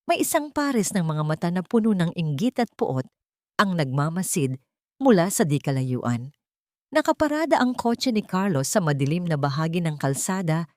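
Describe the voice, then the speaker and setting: A woman with a Filipino accent reads a scripted dramatic story in a measured, solemn storytelling manner. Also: low voice, a little mellow, no echo, studio quality. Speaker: story narrator.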